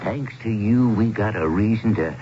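A man's voice: fairly low pitch, normal speed, close to an everyday voice. An actor playing a grateful, welcoming, aged small-town man in a 1970s radio drama. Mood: hearty, grateful. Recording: hissy, dull 1970s radio broadcast.

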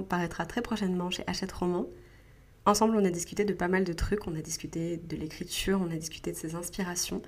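A woman's voice: fairly low pitch, a little mellow. Chatty French podcast host, woman. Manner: chatty, friendly, many fillers. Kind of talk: casual podcast interview.

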